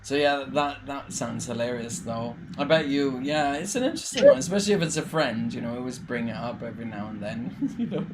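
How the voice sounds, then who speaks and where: A man hosts a live online chat, speaking in a relaxed, encouraging way. His voice is mid-pitched, and a crowd is clearly audible behind him. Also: brisk, few pauses. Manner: relaxed, encouraging, says "bless you" often. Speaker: host. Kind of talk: casual live interview. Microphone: video call.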